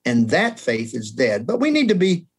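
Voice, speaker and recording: A man's voice: medium pitch, wildly swooping pitch, moderate pace, breathy edge. A man in his seventies, talking through a theology question in an earnest, reflective way, sometimes poking fun at his younger self. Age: 70s. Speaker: guest scholar. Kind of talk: conversational theology discussion.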